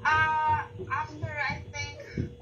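A woman from Brazil who speaks English as a second language, guesting on a video call. She talks slowly and haltingly, often restarting her words, in a high, bright voice. The sound is distant and roomy, with faint noise underneath. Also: completely flat delivery, lo-fi sound. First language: Portuguese. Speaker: guest, business owner. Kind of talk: telling her story in an interview.

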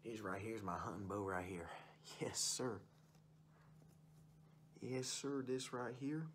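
A man's voice: lowish voice, slow, a bit bright, slight background noise. A young man playing a boastful, folksy uncle who rambles about hunting and fishing in an ASMR roleplay. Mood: boastful, enthusiastic. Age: young adult. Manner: folksy, rambling, repeats stock phrases. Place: small room indoors.

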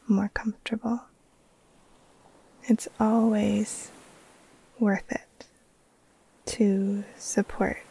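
A woman guiding a relaxation meditation in a soft, breathy, soothing voice that often trails into a near-whisper, with long pauses between short phrases. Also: mid-range voice, low-quality recording. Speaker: yoga nidra teacher, meditation guide.

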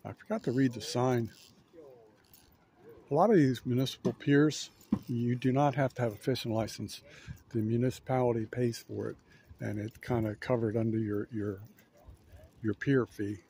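A man casually narrating a walk-around outdoors as a fishing vlogger, in a laid-back, halting, rambling manner. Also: medium pitch, warm tone, slightly rough. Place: outdoors on an ocean fishing pier. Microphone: handheld camera, held by speaker.

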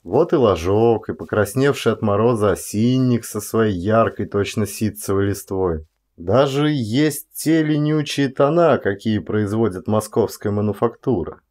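A man, an actor, reads a first-person story as an expressive audiobook performance, with varied delivery and deliberate pauses. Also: on the low side.

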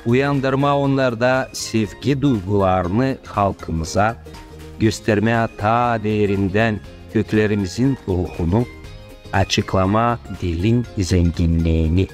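A man giving a measured, deliberate scripted narration. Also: fairly low pitch, soft background music.

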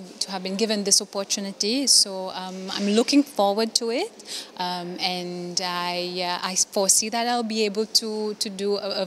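A Seychellois woman in her thirties answering an interview indoors, halting with many fillers. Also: mid-range voice, fairly bright tone, a little hiss or hum. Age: 30s. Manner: hesitant, many fillers and restarts. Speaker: interviewee, new minister. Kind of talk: news interview. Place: indoor office room. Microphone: handheld interview mic.

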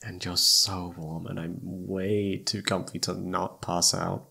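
A man playing an affectionate, sleepy best friend turned lover in an intimate ASMR roleplay, speaking softly and tenderly. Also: low-pitched, level tone, slow pace, creaky, breathy edge, exaggerated voice.

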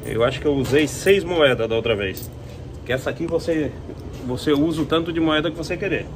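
A man's voice: on the high side, fairly quick. A man with a Brazilian Portuguese accent chats informally to his viewers, narrating everyday chores as he goes with lots of colloquial diminutives. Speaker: vlogger. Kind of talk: casual vlog chat, narrating chores to viewers. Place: inside a self-service laundromat. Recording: noisy laundromat ambience.

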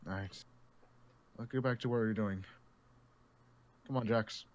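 A low-voiced man acting out the responsible leader of a survivor group in a game roleplay. He is measured and steady, with a reassuring, big-brotherly manner. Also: close to an everyday voice. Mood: gently firm. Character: calm, responsible young male leader of survivors. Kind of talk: improvised Minecraft zombie roleplay.

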